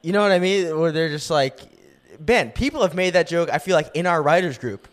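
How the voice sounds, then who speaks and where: A man's voice: fairly high pitch, fairly quick. A young man co-hosting a podcast in casual chat, joking and laughing a lot, slipping into mock voices. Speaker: podcast co-host.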